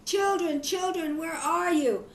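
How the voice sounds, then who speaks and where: A woman's voice: extremely high pitch, measured pace, roomy sound, distant mic, a little hiss or hum, voice pushed up. A woman voicing a caring, watchful mother goat in a children's storybook, earnest and motherly. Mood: anxious calling.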